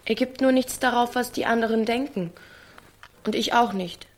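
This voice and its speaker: An actress playing a stubborn, teasing teenage daughter in a radio drama, speaking in a blunt, laconic way. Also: female voice, a little high, normal speed, close to an everyday voice. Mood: defiant. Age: young adult. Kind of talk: naturalistic radio drama acting.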